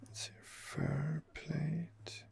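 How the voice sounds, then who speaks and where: A man doing ASMR gameplay commentary, musing to himself in a hesitant, unhurried way. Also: fairly high pitch, little pitch movement, creaky, darkish tone, husky. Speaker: video game player narrating his playthrough. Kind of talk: ASMR game commentary, murmured in-character musing.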